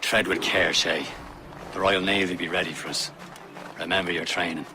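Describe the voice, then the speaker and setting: A man playing a steady, gruff Irish assassin comrade in a video game cutscene, dry and measured, giving advice. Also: low-pitched, a little hiss or hum, full character voice. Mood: cautioning.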